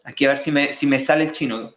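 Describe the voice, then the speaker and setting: A man presenting slides in a live online talk. He explains at length, often pausing and repeating words. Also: medium pitch, steady tone, fairly bright tone, reverberant. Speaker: guest presenter.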